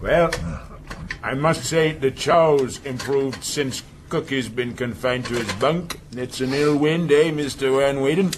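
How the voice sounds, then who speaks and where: An actor playing a domineering, cynical, shrewd sea captain with a taunting manner in a radio drama. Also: male voice, mid-range voice, a little slow, background noise, natural voice. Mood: mocking. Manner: taunting, sardonic.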